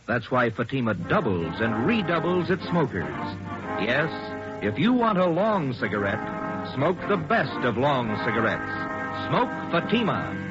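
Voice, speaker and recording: A man's voice: mid-range voice, sing-song, unhurried, loud music behind the voice. A man reading a 1940s radio commercial in an eager, punchy salesman delivery. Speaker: commercial announcer. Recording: muffled old radio broadcast.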